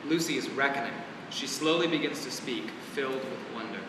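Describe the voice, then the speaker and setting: A man with a middle-pitched voice narrates stage directions from a script. He is heard from far off in a very reverberant hall, with clear rumbling noise around him. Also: a little fast. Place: live theatre reading, distant microphone.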